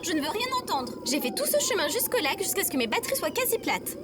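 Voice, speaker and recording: A woman's voice: very high voice, sing-song, quick pace, loud music behind the voice. A woman playing an earnest, touchy AI robot companion in an audio drama, speaking formally and precisely. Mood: indignant. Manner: formal, precise, literal-minded. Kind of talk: scripted audio fiction acting.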